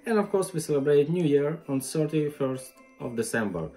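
Man from Ukraine, mellow careful voice. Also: on the high side, lively intonation, moderate pace, soft background music. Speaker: company employee. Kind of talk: recorded greeting and explanation.

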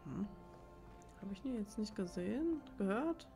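A woman's voice: medium pitch, expressive, measured pace, creaky, warm tone. A woman giving casual gameplay commentary, rambling and thinking aloud as she plays. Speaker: let's player. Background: game music.

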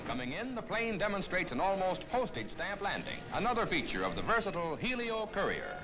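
A male American narrator delivers scripted newsreel copy in the grand 1950s manner. His voice sits high and moves with lively, declamatory swings. Loud music plays behind him on the vintage film soundtrack. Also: a little fast, low-quality recording. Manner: dramatic, declamatory. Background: loud orchestral newsreel music.

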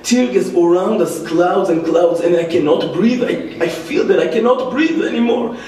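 A man's voice: sits high, lively intonation, moderate pace, a little mellow. A man from Israel with an Israeli accent and Hebrew as his first language, telling a personal story to an audience as an activist speaker, recorded from a distance in a church. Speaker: activist speaker, former soldier. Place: large indoor church. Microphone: distant microphone. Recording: echoey church, distant mic, faint noise.

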